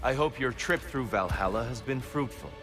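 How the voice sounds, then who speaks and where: An actor playing a calm, wise hero of ancient myth in a video game, guiding with measured, probing questions. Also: male voice, medium pitch, natural voice. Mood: challenging. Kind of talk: dramatic video game acting. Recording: loud game music underneath.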